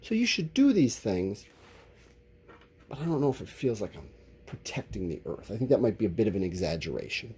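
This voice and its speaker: A man's voice: on the high side, dark tone, slightly rough. A man teaching a recorded lesson, talking informally to his students and explaining things in a candid, conversational way.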